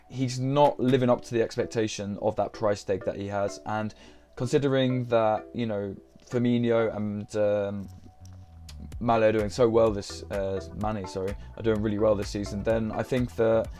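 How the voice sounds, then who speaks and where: Young man, low mellow voice, a bit croaky; casual, halting live-stream chat. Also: steady tone, soft background music. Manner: hesitant, many ums and uhs. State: croaky voice from a sore throat. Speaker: fantasy football streamer.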